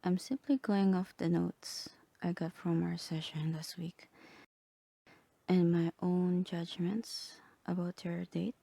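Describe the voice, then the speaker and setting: A woman voicing a calm, composed therapist in a soft, breathy, half-whispered ASMR roleplay, measured and clinical. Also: on the low side, no echo, heavily acted. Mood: calm, reassuring. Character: calm, composed female therapist guiding a patient through roleplay.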